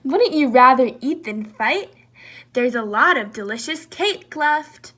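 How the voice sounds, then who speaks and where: A female elephant from a picture book steps in to settle a squabble, as a woman reading aloud puts her on. She speaks with a smiling, coaxing lilt. The voice is high, bright and unhurried. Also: animated, heavily acted. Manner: soothing, coaxing. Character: calm peacemaking female elephant.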